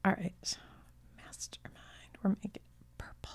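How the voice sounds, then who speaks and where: A middle-aged woman who chats gently in a soft ASMR whisper, often trailing off. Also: a little slow, dry recording. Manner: gentle, chatty, laughs softly, trails off. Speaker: video creator.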